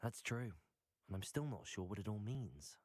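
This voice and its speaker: An actor playing a thoughtful, discreet teenage wizard student in a video game, with a measured, earnest delivery. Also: male voice, lowish voice, sing-song, a little mellow, no echo, clean studio sound. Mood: earnest, guarded. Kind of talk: video game cutscene acting.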